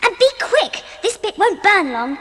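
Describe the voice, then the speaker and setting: A woman's voice: very high-pitched, very animated, unhurried, a bit bright, long reverb tail, full character voice. A woman playing a caring, practical child with an English accent, earnest and kindly, in a dramatised audiobook. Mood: firm, gentle.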